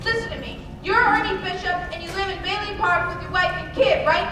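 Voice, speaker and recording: A woman's voice: very high-pitched, a little fast. A young woman acting a desperate, bewildered everyman in an old-fashioned radio play. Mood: agitated, urgent. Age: young adult. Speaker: student actor. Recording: echoey distant stage recording, music behind.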